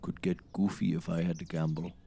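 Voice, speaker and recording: A man's voice: low-pitched, little pitch movement, fairly quick, vocal fry, mellow, breathy edge, dry recording, full character voice. An actor playing a grandiose woodsman obsessed with seafaring, declaiming nautical bombast in a scripted comedy. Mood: grandly eager. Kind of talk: scripted comedy audio acting.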